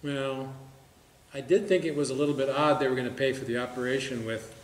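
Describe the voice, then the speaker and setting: A man's voice: medium pitch, fairly even intonation, a little fast, close to an everyday voice. A man playing a gullible, lovestruck, stubborn bar regular in a stage comedy, talking in a folksy, earnest, defensive way. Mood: stubborn, hurt. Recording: echoey room with background noise.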